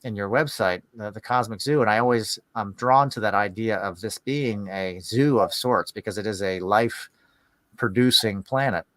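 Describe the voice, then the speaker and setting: A man hosting a podcast in casual, rambling talk, musing aloud in halting phrases. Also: fairly low pitch, a bit bright, no echo. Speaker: podcast host.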